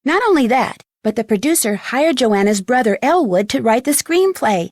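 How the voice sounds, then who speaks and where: A teenage girl sleuth with an American accent in a mystery game. Her voice sits fairly high and is recorded dry and close. She recounts a solved case in a wry, chatty storytelling way. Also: big pitch swings, moderate pace, a little mellow, dry recording. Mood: wry, amused. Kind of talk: scripted game epilogue narration.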